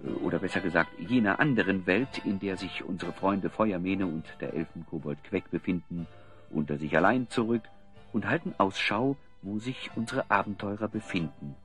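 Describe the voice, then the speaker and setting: A man's voice: low-pitched, normal speed, faint music behind. A man narrating a radio play as a storyteller, with scripted delivery.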